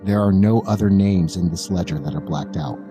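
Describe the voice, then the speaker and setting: A man improvising narration as a tabletop game master in a halting, deliberate, measured manner. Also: deep voice, over music.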